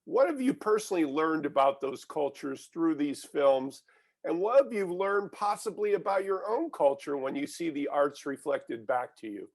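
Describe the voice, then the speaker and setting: A man interviewing a guest over a video call, asking measured, prepared questions. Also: on the high side, lively intonation. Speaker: film critic interviewer.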